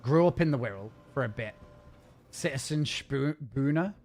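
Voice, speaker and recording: A man's voice: medium pitch, expressive, darkish tone. A man chatting casually and rambling while live-streaming a game from home. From north of England. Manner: chatty, rambling, fast-talking. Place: home gaming setup.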